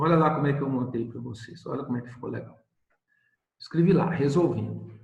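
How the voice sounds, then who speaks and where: A man's voice: on the high side, roomy sound, low-quality recording. A male math teacher giving a recorded video lesson, explaining step by step and asking questions he answers himself.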